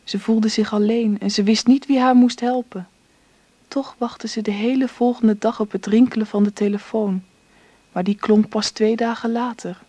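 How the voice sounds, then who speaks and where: A woman narrating a radio drama in a calm, even way. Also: on the high side, normal speed, no room sound.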